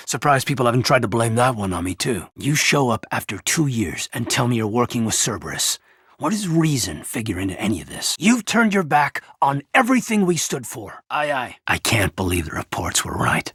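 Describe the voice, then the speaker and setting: A man playing an earnest, loyal and conflicted space marine officer in a video game, with a husky voice and a sincere military manner. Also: lowish voice, pushed and bright, no room sound, clean studio sound, heavily acted. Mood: hurt, reproachful. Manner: sincere, military, clipped and intense. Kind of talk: video game voice acting, dramatic dialogue.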